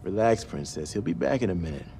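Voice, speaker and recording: A man's voice: on the low side, fast, vocal fry, a little hiss or hum. A man playing an easygoing, teasing lab assistant in a video game drama, talking casually. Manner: relaxed, casual. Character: laid-back, teasing male lab assistant.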